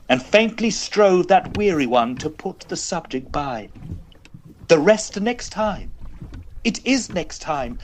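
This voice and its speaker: A man reciting verse in a theatrical, measured way, as an expressive poetry reader. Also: a little high, slight background noise.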